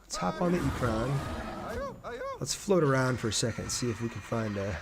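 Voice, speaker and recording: A man's voice: medium pitch, expressive, moderate pace, noisy background. Casual male game streamer, chatting along. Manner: chatty, thinks aloud, reads messages out. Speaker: game streamer reading viewer chat. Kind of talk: casual live gameplay commentary.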